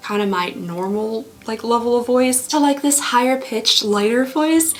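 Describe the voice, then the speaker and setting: A woman hosting a casual vlog, chatty and rambling with frequent giggles, her voice going hoarse from talking. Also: on the high side, moderate pace, faint music behind. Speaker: vlogger host. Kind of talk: casual unboxing review.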